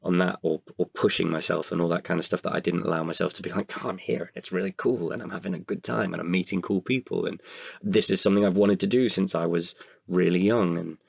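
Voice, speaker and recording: A man, an actor, talking reflectively about himself in an interview, haltingly and with pauses mid-sentence. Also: low voice, fairly even intonation, gravelly, breathy edge, no room sound. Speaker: actor and guest writer. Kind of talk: reflective personal interview talk.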